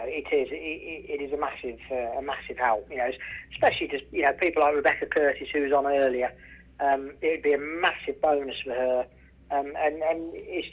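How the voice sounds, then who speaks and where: A man from England giving a casual interview over the telephone, restarting often and repeating himself for emphasis. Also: a little high. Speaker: racehorse trainer and former jockey, interview guest. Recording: telephone line, radio-style call.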